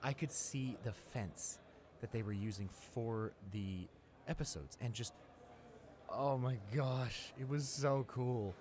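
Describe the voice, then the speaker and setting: A young man playing a friendly, eager high school senior in a roleplay audio drama, rambling enthusiastically with stammers and repeats. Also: slow, crowd noise, natural voice. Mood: excited, fond. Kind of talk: roleplay audio drama, casual one-sided conversation. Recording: clear background noise, ambience.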